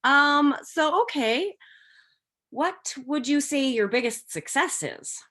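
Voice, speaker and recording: A woman's voice: high-pitched, lively intonation, slow, fairly bright tone. Woman host chatting, supportive, easy conversational tone. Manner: encouraging, laughs often, agrees readily. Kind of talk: casual video-call interview.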